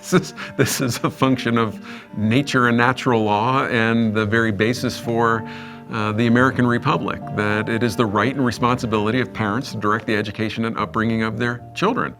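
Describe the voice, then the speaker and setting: A man speaking emphatically and in a measured way in a documentary interview. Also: medium pitch, steady tone, fairly bright tone. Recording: music bed underneath.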